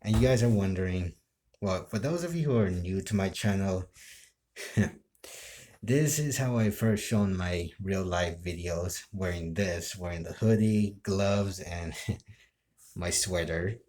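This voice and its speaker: A man chatting casually to his viewers as a video creator, rambling and circling back over halting explanations. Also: sits low, a little mellow. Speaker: video creator and amateur role-player.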